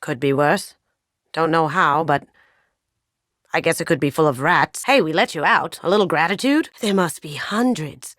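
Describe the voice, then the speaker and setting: A woman's voice: low-pitched, normal speed, fairly bright tone, no room sound. An actress playing a sly, playful young woman squadmate in a video game, with a dry, understated wit. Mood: wry, teasing. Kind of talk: scripted video game companion dialogue.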